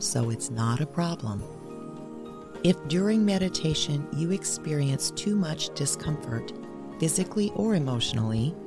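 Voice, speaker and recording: A woman hosting and leading a guided meditation in a measured, instructional way, reading scripted narration. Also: sits low. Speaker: meditation guide and podcast host.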